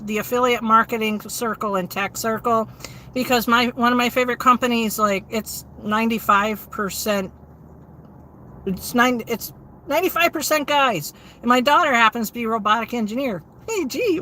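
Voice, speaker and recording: A woman, hosting a casual livestream and reading viewer comments in a steady, low-inflection way with frequent ums. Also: a little hiss or hum, rough recording. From New England. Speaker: livestream host.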